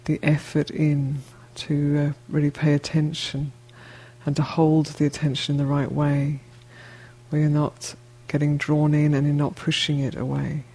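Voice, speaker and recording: A woman meditation teacher with a soft-edged, mellow voice and very little rise or fall. She speaks slowly and gently, leaving long pauses between short phrases as she guides the listener. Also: sits low, monotone, breathy edge.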